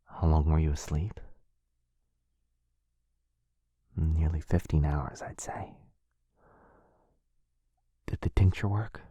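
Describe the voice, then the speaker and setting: A man playing a gentle, centuries-old vampire lover in an ASMR roleplay, soft-spoken and reassuring. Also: very deep voice, a little slow, slightly rough, dry recording, heavily acted. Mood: gently concerned.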